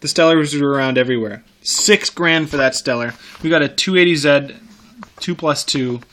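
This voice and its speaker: Man with a bright, forceful voice, browsing casually. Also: fairly high pitch, moderate pace. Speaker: car enthusiast vlogger. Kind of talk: casual off-the-cuff commentary while browsing classified ads.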